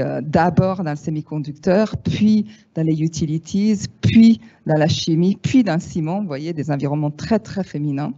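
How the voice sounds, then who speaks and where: Italian woman in her fifties, warm-voiced. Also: low voice. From Italy. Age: 50s. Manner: lively, frank, wry asides. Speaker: speaker at a panel. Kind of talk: talk to an audience. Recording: dull lo-fi sound.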